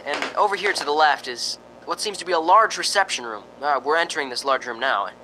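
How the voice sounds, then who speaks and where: A man's voice: fairly high pitch, brisk, sharp tone, a little hiss or hum, rough recording. A man playing a jittery, joking, skeptical radio reporter in an amateur radio drama, with a folksy, stammering delivery. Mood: uneasy narrating. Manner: folksy, wisecracking, nervous stammering.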